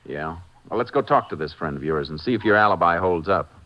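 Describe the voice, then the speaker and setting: A man playing a terse, steady Texas Ranger who questions and narrates matter-of-factly in a 1950s radio drama. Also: sits low, a little fast, loud music behind the voice. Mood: calm, probing. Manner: laconic, matter-of-fact questioning. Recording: muffled, noisy 1950s radio recording.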